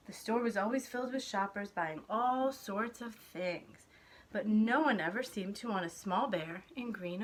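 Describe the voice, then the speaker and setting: A woman's voice: mid-range voice, slight background noise. A woman reading a picture book aloud to preschool children. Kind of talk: children's storybook read-aloud.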